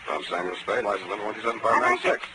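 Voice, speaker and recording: An actor playing a hard-boiled, wisecracking private detective in a 1940s radio drama, with a dry, slangy delivery. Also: male voice, lowish voice, wildly swooping pitch, quick pace, heavy background noise. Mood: wry narration. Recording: vintage 1940s radio broadcast, music underneath.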